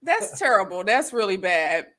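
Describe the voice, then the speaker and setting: A woman's voice: mid-range voice, very animated, normal speed, sharp tone. A woman co-hosting a relationship talk show, teasing and laughing often, with casual slang. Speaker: co-host.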